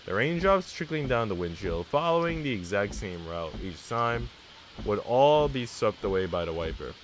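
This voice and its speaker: A man's voice: lowish voice, very animated, normal speed, a little hiss or hum. A man live-streaming, reading a visual novel aloud and chatting casually with viewers in a slangy, teasing way.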